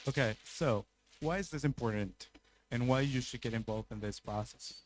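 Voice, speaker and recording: A young man from Chile giving a conference talk, speaking haltingly and restarting his sentences. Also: mid-range voice. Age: young adult. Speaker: youth climate speaker.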